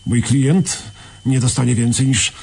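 A man's voice: medium pitch, steady tone, unhurried, vocal fry, darkish tone, breathy edge. A man acting in a radio play as a pompous defence lawyer, formally and indignantly shielding his client.

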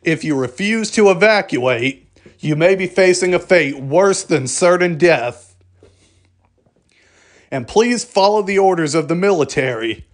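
A man reading a scripted emergency alert broadcast in a formal, measured manner. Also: on the high side.

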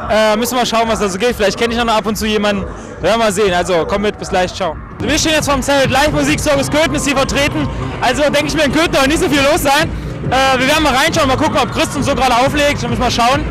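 The guy with the microphone at an open-air night festival, a pitching his voice way up over the milling crowd to joke his way through a report. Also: quick pace, rough recording. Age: young adult. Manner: loud, informal, joking. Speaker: event reporter. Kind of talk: casual on-location festival reporting. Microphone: handheld microphone with foam windscreen. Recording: loud music and crowd noise behind.

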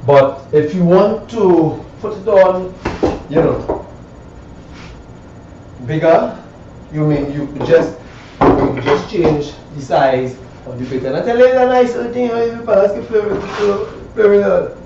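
A man's voice: high voice, a little slow, gravelly, warm tone. A man with a Caribbean accent giving a casual hands-on woodworking demonstration, rambling and repeating phrases with frequent checking tags. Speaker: woodworker demonstrating hand tools. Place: small cluttered indoor woodwork shop. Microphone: camera a few metres away. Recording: echoey room, distant mic, dull, faint noise.

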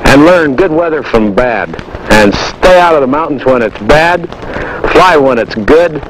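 A man's voice: on the high side, expressive, measured pace, noisy background. An American man giving informal, folksy instructional advice as an experienced pilot, on an old 1960s training film soundtrack.

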